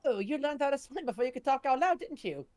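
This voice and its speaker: A woman's voice: sits high, expressive, fast, darkish tone, faint music behind, pitched above the performer's own voice. A woman voicing a kindly, chatty friend talking to a child, with a put-on British accent. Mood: friendly, encouraging.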